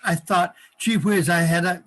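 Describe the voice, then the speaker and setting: Older male participant, stammery and chuckling, somewhat high voice, on a video call. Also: fairly even intonation, fairly bright tone. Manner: halting, repeats words, chuckles often. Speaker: workshop participant. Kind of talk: casual chat.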